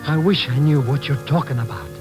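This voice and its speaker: An actor playing an excitable, naive, puzzled sidekick in an old radio drama, plaintive and exclamatory. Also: male voice, fairly high pitch, fairly quick, over music, close to an everyday voice, low-quality recording. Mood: bewildered, protesting. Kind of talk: 1940s-50s American radio drama acting. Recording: vintage radio recording with faint noise.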